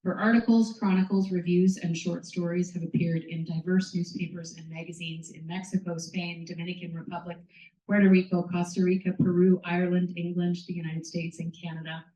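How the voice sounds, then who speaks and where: A woman's voice: on the low side, little pitch movement, brisk, mellow. A woman hosting a reading series, giving a casual welcome and reading out a guest's introduction. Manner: casual, reads from notes. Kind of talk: event introduction. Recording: distant mic in echoey room.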